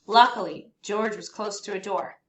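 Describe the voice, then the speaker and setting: A woman reading a children's picture book aloud as a storyteller, with expressive, deliberate phrasing for young listeners. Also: mid-range voice. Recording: roomy echo, faint background noise.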